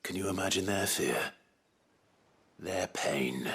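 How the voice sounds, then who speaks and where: A man playing a brooding, defiant British warrior in a video game, grave, measured and quietly menacing. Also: low voice, breathy edge, exaggerated voice.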